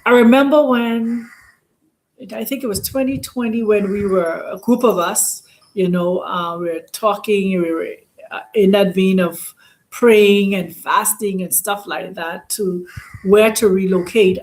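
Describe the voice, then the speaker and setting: A woman from the Bahamas speaks in a loose, informal monologue as a channel host. Her voice sits at a medium pitch, and she rambles, often stopping to hunt for the right word before carrying on. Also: steady tone, darkish tone, rough recording. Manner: rambling, often pausing to search for words.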